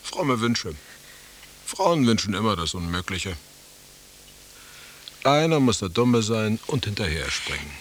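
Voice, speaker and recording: Radio play casting: grumpy husband, very low voice, dry mockery, formal phrasing, faint hiss. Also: male voice, very animated, measured pace, long pauses. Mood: mocking. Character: grumpy, sardonic husband in a bickering beach conversation piece. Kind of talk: German radio play acting.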